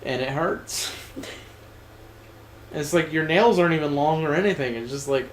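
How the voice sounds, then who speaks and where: A man's voice: on the high side, normal speed, roomy sound, a little hiss or hum. A man co-hosting a let's play, chatting casually and joking with lots of fillers while game music plays. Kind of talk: casual gameplay commentary.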